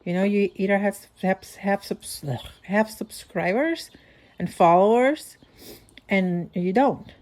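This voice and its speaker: Rambling woman, mellow voice. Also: mid-range voice, slow pace. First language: Dutch. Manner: rambling, thinking aloud with many restarts. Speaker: podcast host. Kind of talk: casual voice-note monologue.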